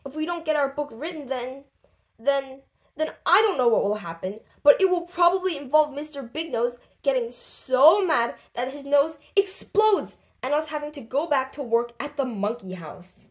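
An earnest storybook boy, acted by a woman, fretful and questioning. The voice sits high and moves about a lot. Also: animated, moderate pace. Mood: worried.

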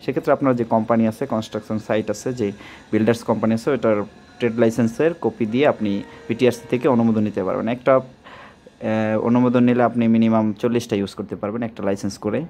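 A man's voice: lowish voice, fairly even intonation, fast talker, warm tone, faint music behind. A man with a Bangladeshi accent giving an informal sales demonstration of a product, repeating himself and mixing in English words. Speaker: product presenter and seller. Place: indoors at a table. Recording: clearly audible background ambient noise.

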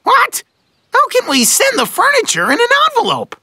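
A man voicing an excitable, opinionated little woodpecker in a children's cartoon, with a squeaky, scratchy voice and theatrical, exclamatory delivery. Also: heavily acted. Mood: excited. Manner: exclamatory, theatrical, drawn-out words. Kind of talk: children's animated series voice acting.

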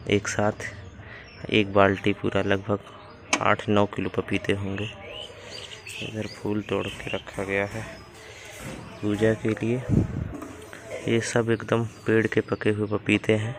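Slow, flat-voiced young man in a garden. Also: fairly low pitch. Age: young adult. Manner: relaxed, explains while showing his garden. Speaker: vlogger. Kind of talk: casual village vlog narration. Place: outdoors in a village garden. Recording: noisy outdoor ambience.

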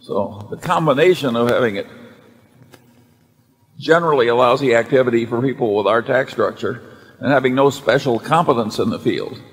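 A man's voice: medium pitch, normal speed. Blunt older man in a large hall. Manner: blunt, matter-of-fact. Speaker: company vice chairman. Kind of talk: unscripted answer at shareholder meeting. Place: large hall on stage at table. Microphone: table mic. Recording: long hall reverb.

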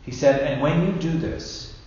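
Man, fifties, preacher, slow deliberate delivery, long church reverb, slightly dull sound. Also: fairly high pitch, darkish tone. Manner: measured, deliberate, with emphatic pauses. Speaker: bishop preaching. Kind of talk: sermon. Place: indoors in a church at a lectern. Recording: very echoey church, dull sound, faint noise.

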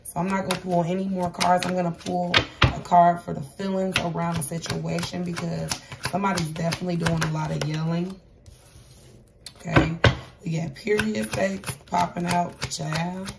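Raspy-voiced woman reading tarot. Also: fairly low pitch, level tone, normal speed, recorded from a distance, heavy background noise. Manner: chatty, repeats "okay?", calls viewers loves and child. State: hoarse, voice going for days. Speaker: tarot reader. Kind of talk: casual tarot reading to camera. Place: indoors at a table with cards and crystals. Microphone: camera across the table.

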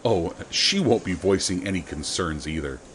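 An actor playing a stern, ruthless, sardonic official in an audio drama, blunt and gruff. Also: male voice, low-pitched, expressive, moderate pace, a bit bright, slight background noise.

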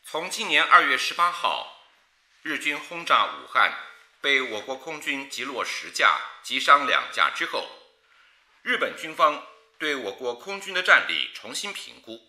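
An actor playing a stern, urgent wartime newsreader in a 1930s radio drama, declaiming formal bulletins. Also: male voice, mid-range voice, very animated, measured pace, pushed and bright, echoey room, exaggerated voice.

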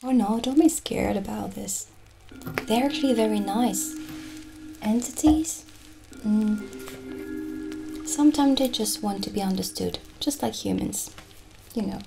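A woman playing a gentle, dreamy witch shopkeeper in an improvised ASMR roleplay, musing soothingly with pauses. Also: mid-range voice, creaky, over music, poor audio.

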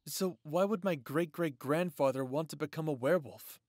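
A man's voice: on the high side, normal speed, a little mellow, no echo, clean studio sound, lightly acted. A man playing an inquisitive, mildly sardonic young man in an audio drama, with a conversational delivery. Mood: skeptical. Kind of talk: audio roleplay drama acting.

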